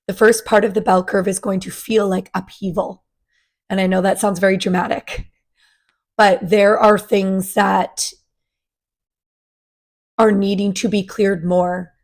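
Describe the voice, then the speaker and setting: Woman, raspy throat after a cold, gravelly edges, gentle chatty forecast host. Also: mid-range voice. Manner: gentle, chatty, with many fillers and restarts. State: raspy from a sinus virus. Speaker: spiritual coach and channel host. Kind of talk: casual monthly energy forecast.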